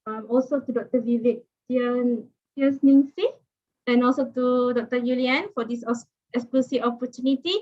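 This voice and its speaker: A woman's voice: on the high side, flat delivery, moderate pace. A woman in her thirties with a Malaysian accent, giving a chatty online guest lecture with many fillers and restarts. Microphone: video call. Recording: muffled video call audio.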